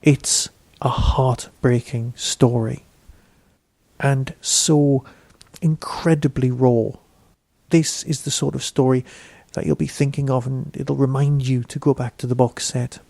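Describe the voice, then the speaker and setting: A man delivers a scripted review as a podcast host. His voice is mellow and relaxed at a middling pitch, recorded dry and close. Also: gravelly, dry recording.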